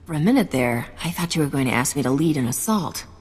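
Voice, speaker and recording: An actress playing a tough, sardonic smuggler captain in a video game. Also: female voice, low-pitched, expressive, fast talker, a little mellow, slightly rough. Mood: hard, wry. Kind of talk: video game cutscene acting. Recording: reverberant hall, faint music.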